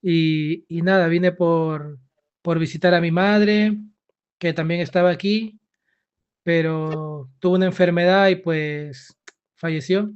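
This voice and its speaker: A man in his thirties speaks with a Peruvian accent, his voice pitched high and smooth. He answers in a soft, hesitant way, pausing often. The sound is dull and lo-fi. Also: steady tone. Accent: Peruvian Spanish. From Peru. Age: 30s. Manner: soft-spoken, hesitant, pauses with fillers. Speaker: author being interviewed. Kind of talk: casual interview answers.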